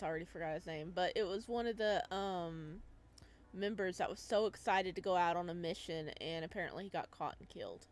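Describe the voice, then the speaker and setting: A woman hosting a gaming video, chatting casually and reading game text aloud, moving between calm narration and excitable, playful reactions. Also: on the low side, slight background noise.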